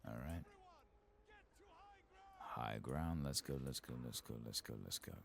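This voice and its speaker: A soft-spoken man murmuring unhurried ASMR commentary to himself as he plays through a video game. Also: a bass voice, animated, vocal fry, faint music behind. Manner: murmured, unhurried, talking to himself while playing. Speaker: gaming channel host. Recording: faint background noise.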